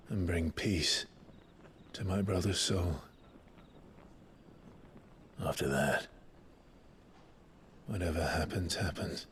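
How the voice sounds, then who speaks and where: An actor playing a brooding, haunted warrior in a fantasy video game, speaking grimly and intensely. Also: male voice, a bass voice, a bit bright, a little hiss or hum, heavily acted. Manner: grim, slow, intense.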